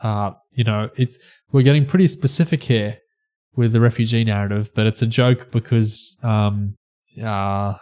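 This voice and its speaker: A man co-hosting a podcast, talking casually in a halting, sardonic way. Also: fairly low pitch, a little mellow. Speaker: podcast co-host. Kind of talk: casual discussion.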